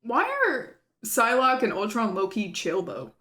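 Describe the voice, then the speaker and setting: A woman's voice: mid-range voice, animated, unhurried, pushed and bright. A woman with a mid-range voice that swings freely up and down. She comments casually as a reaction host, playful and full of slang.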